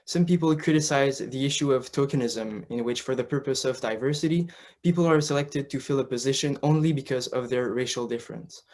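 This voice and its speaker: A man's voice: medium pitch, fairly quick, darkish tone. Young man politely hosting a student interview. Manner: polite, slightly hesitant with fillers. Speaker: student co-host. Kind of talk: scripted interview questions.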